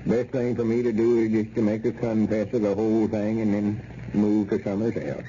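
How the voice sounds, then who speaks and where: Old Southern country schemer, played by a man, proud and exasperated, very sharp edge, dull noisy vintage radio sound. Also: on the low side, monotone, fairly quick, natural voice. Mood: dejected, ashamed. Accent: rural Southern American. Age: older. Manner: folksy, exasperated drawl. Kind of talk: 1930s-40s radio comedy acting.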